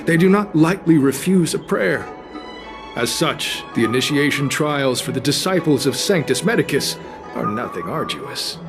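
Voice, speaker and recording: Devout game cultist: silky, formal, theatrical, higher male register, very lively intonation. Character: zealous, silky-polite male cult recruiter preaching immortality. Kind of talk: scripted video game dialogue.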